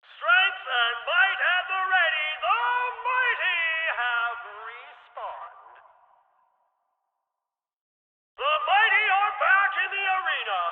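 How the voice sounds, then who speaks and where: An actor playing an exuberant, playful game show arena announcer, a man with a theatrical, drawn-out delivery. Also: very high voice, narrow, tinny audio, exaggerated voice. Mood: showy, excited. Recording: echoey arena PA, band-limited, faint music.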